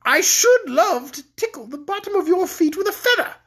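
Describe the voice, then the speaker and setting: A woman's voice: very high-pitched, very animated, moderate pace, fairly bright tone, voice pushed up, exaggerated voice. A woman voicing a cruel storybook queen, imperious, mocking and haughty. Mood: gloating, teasing. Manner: commanding, teasing, haughty. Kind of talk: children's storybook read aloud.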